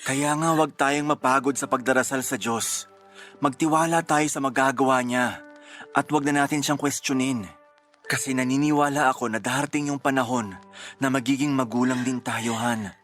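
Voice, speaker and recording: Soothing, devoted husband, mid-pitched man acting. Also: fairly even intonation, moderate pace, fairly bright tone, soft background music, natural voice. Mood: reassuring. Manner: soothing, earnest. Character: devout, patient, devoted husband comforting his wife. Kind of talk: radio drama acting.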